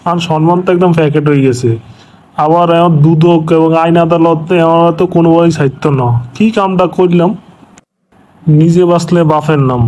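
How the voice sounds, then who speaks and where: Man acting a fast-talking, blustering corrupt official. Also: on the high side, a little mellow, slight background noise, close to an everyday voice. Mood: anxious self-pity. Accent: regional Bangladeshi dialect. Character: corrupt, panicky, blustering government official and father. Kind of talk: comic cartoon voice acting.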